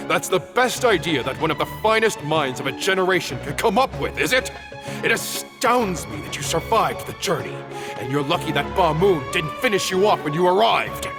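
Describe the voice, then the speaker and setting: A stern, sarcastic old teacher. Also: male voice, fairly high pitch. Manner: scolding, sarcastic, dry. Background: background music.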